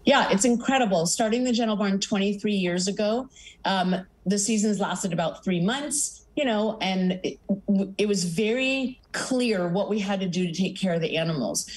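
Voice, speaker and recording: A woman's voice: mid-range voice, moderate pace, a little mellow. A middle-aged woman, founder of an animal sanctuary, speaking earnestly over a video call from a home office in a news interview. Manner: earnest, lists things in long runs. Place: home office with pictures on the wall. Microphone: video call webcam.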